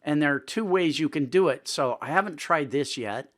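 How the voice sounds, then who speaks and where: Informal man giving a software tutorial. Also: fairly high pitch, expressive, normal speed. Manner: informal, conversational, thinking aloud. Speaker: tutorial presenter. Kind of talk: casual screen-recording tutorial talk.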